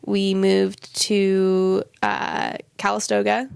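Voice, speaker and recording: A woman's voice: medium pitch, monotone, very slow, creaky, clean tone, no room sound, clean studio sound. A woman in her twenties from Hawaii, chatting casually on a podcast and rambling through her childhood story with fillers. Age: 20s. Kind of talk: casual chat.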